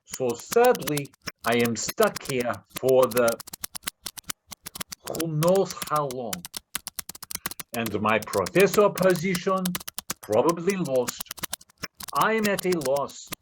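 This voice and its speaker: A man playing a desperate Russian scammer posing as a loving suitor, composing haltingly aloud in readers theater, on a noisy recording. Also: fairly high pitch. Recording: noisy recording with clicking keys.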